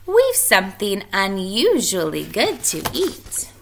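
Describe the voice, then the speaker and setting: A woman's voice: medium pitch, huge pitch swings, slow pace, vocal fry, fairly bright tone, a little hiss or hum, full character voice. A woman voicing a gleeful cackling witch, calling out in a children's storybook read-aloud.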